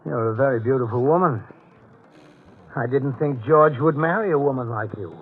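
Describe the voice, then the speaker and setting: An actor playing a menacing, unstable fugitive in a 1940s radio drama, speaking in clipped, intense, halting phrases. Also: male voice, mid-range voice, husky, faint music behind, close to an everyday voice. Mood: brooding, probing. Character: menacing, brooding male fugitive, unstable and commanding. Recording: tinny vintage radio recording.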